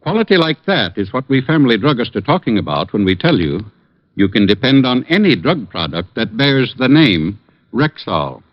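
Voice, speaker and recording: An earnest neighborhood druggist in an old radio commercial. His pitch is mid-range with lively inflection, reassuring and courteous. The recording is slightly dull. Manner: measured, reassuring salesman. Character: courteous, earnest male family druggist endorsing products.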